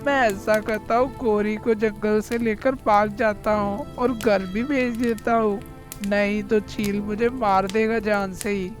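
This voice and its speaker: A man's voice: high-pitched, steady tone, a little slow. A man voicing an anxious, pleading crow farmer in a cartoon story. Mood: panicked, wailing. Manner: deferential, pleading. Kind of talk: Hindi cartoon voice acting. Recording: faint music behind the voice.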